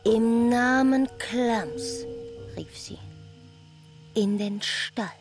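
Extremely slow woman narrator over music. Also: on the high side, fairly even intonation. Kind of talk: dramatic scripted literary narration.

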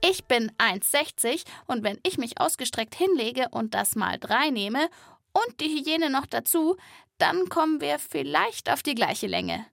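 High-voiced woman hosting for children. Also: lively intonation, a little slow, vocal fry, a bit bright, dry recording, studio quality. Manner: playful, addresses young listeners directly. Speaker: host of a children's animal podcast. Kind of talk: lively scripted narration for children.

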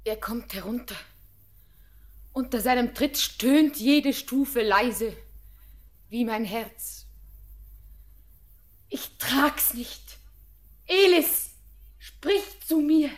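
An anguished young bride. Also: female voice, fairly high pitch, expressive, very slow, pauses often. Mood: fearful. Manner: shifts between hushed whispers and impassioned outbursts. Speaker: lead actress. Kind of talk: classic radio play acting.

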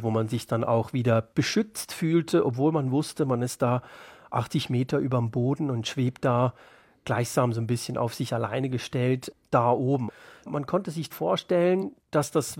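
A man's voice: mid-range voice, dry recording. A man giving spontaneous, reflective interview answers as a guest, thoughtful and drawing out key words.

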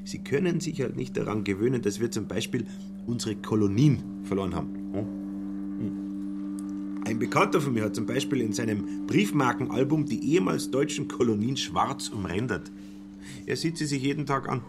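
An actor playing an opinionated, chatty German petit-bourgeois traveller in a radio play, talking with wry amusement. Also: male voice, mid-range voice, expressive, normal speed, very noisy, natural voice. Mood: musing, amused. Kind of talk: literary radio play acting.

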